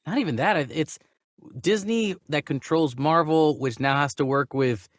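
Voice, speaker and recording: A man's voice: fairly high pitch, moderate pace, no echo, studio quality. A man hosting a discussion show, giving opinions casually and emphatically, often repeating phrases as he builds his point. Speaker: host of a movie news show.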